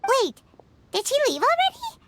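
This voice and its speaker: A woman voicing a small, perky, childlike fairy companion in a video game, chirpy, squeaky and full of curiosity. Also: wildly swooping pitch, normal speed, heavy background noise, full character voice. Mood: musing. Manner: speaks of herself in the third person, perky. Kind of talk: video game character acting. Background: music.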